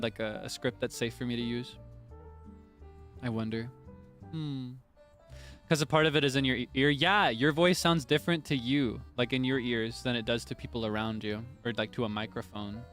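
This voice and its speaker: A young man with an ordinary mid-range voice talks casually to his viewers on a stream. He laughs often and pokes fun at himself. Soft music plays faintly underneath. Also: mid-range voice. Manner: chatty and self-deprecating, laughs often. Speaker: virtual streamer. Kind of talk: casual chat answering viewer comments.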